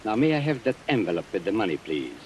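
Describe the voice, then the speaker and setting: Suave male blackmailer, 1940s radio suspense, cold and formally mocking, animated pitch, faintly hissy vintage recording. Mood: smooth, mocking. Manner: mocking, smoothly formal, deliberate. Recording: hissy old radio recording, rain sounds.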